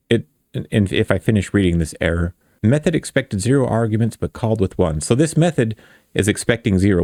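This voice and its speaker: A man's voice: lowish voice, warm tone, no echo, studio quality. A man hosting a casual coding tutorial, thinking aloud in an informal, self-deprecating way. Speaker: tutorial host.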